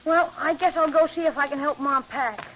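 A man's voice: extremely high pitch, normal speed. A male actor playing an earnest young son, polite and matter-of-fact, in an old radio comedy. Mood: earnest, resigned. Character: earnest young son, solemn and loyal to Mom. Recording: dull, noisy old radio recording.

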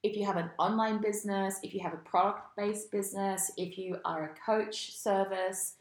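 A woman's voice: medium pitch, fairly even intonation, moderate pace, reverberant. A woman co-hosting a podcast in casual chat, bubbly, laughing often and swearing freely. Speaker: podcast co-host.

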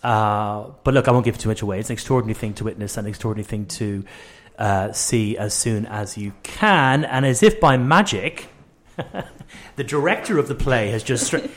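A man's voice: on the low side, moderate pace. A man hosting a radio interview in a casual, effusive way, rambling and repeating phrases as he goes. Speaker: radio host and producer.

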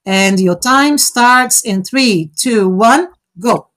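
A woman with a somewhat high voice, whose first language is Portuguese, runs a live online speaking exercise. She coaches and encourages, checks in constantly with short "okay?" and "all right?" tags, and talks with a warm, supportive energy. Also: expressive, takes it slowly. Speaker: host running a fluency exercise.

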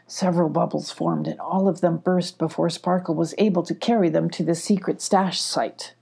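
A woman reading a scripted children's story in a measured, expressive storytelling manner. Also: on the low side, vocal fry, slightly rough. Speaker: audiobook reader.

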